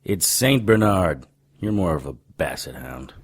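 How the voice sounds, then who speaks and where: An actor playing a wry, hard-boiled supernatural private detective in an audio drama, deadpan and sarcastic with a raspy voice. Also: male voice, low voice, unhurried, full character voice. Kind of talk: audio drama dialogue and noir narration.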